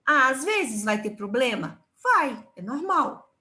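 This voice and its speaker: A woman with a Brazilian Portuguese accent teaching a history lesson to children, explaining slowly and patiently. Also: high-pitched, sing-song, pushed and bright, roomy sound. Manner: slow, patient classroom explaining.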